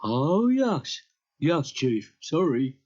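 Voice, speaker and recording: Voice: mid-range voice, sing-song, drawn-out words, a little mellow, heavily acted. An actor playing a dim, whining henchman in a children's cartoon audio story. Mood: dim, eager. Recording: muffled old audio cassette.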